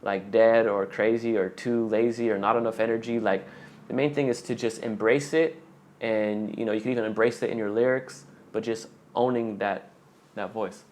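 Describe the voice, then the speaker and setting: A man's voice: fairly low pitch, steady tone, normal speed, a little mellow, a little hiss or hum. A young man coaching rap on a live stream, answering viewer questions aloud in a casual way.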